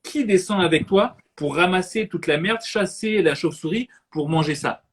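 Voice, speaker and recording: Un homme qui anime une discussion en direct, animé, qui rit souvent et répète ses mots. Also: male voice, high-pitched, moderate pace. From French Caribbean. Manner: animated, laughs often, repeats words. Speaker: host. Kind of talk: casual live chat. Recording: noisy live stream audio.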